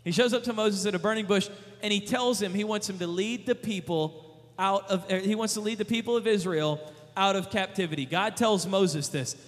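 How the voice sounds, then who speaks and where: A man preaching a sermon, emphatic, repeating rhetorical questions and paraphrasing scripture in lively retellings. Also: high voice, normal speed, big-room sound. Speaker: youth preacher.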